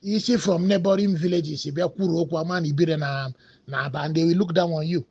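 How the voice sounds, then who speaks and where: A man's voice: fairly high pitch, steady tone, fast, creaky, darkish tone, husky, no room sound. A man with a Nigerian Igbo accent, a native Igbo speaker, giving rambling casual commentary that switches between English and Igbo with many hesitant fillers. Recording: dull lo-fi recording.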